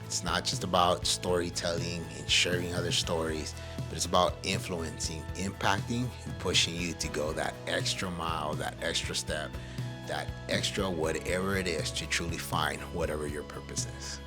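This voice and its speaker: A man's voice: deep voice, fairly even intonation, moderate pace, music in the background. A man from El Salvador hosting a podcast, speaking casually and motivationally in an earnest, emphatic way. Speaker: podcast host.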